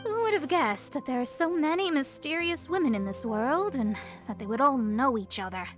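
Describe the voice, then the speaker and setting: An easily surprised young woman in a fantasy game. Her voice is high, animated and full of wonder, with faint music underneath. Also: moderate pace. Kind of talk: video game voice acting.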